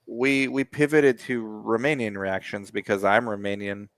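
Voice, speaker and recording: A man co-hosting a relaxed reaction chat, rambling along with sarcastic asides. His voice sits on the low side. The sound is dry and close. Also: moderate pace, dry recording. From United States. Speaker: co-host reacting to music.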